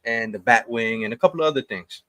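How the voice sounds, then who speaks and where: A man's voice: medium pitch, quick pace, sharp tone. A man being interviewed on a video call, laid-back and easygoing, often repeating himself and laughing. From New York state. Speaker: guest. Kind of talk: casual interview chat.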